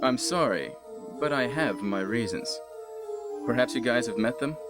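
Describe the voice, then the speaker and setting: A man playing a composed, calculating team captain in a 1990s video game, with stilted, deliberate delivery and an outwardly concerned manner. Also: mid-range voice, animated, lightly acted. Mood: guarded, composed. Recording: game audio under loud music.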